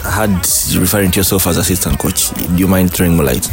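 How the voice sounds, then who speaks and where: A man hosts a sports podcast in a low voice, with music playing clearly behind him. He phrases things haltingly and teases his guests playfully as he moves the panel along. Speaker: podcast host. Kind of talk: hosting a sports panel discussion.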